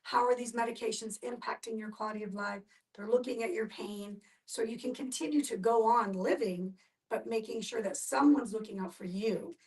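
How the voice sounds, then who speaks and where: Woman, higher voice, measured explainer leading a group discussion, picked up from some distance. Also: a little mellow. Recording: distant from the microphone.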